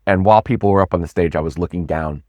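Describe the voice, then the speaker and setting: A man with a very low, deep voice talks as a pitch coach in a casual interview. He speaks with animated stresses and keeps repeating and restarting words. The sound is close and dry, with no room around it. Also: very fast, no room sound. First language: not Swedish; English speaker.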